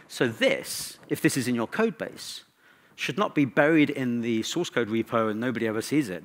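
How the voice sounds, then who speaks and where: Male conference presenter, mid-pitched voice, informal and conversational delivery with dry, wry asides. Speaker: conference speaker. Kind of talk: conference talk.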